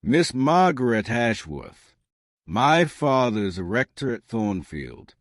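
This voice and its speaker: A man voicing a plain, frank young gentlewoman in an audiobook, unhurried, matter-of-fact and dryly witty. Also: medium pitch, big pitch swings, dry recording, studio quality. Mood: dry, composed. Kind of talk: audiobook character dialogue.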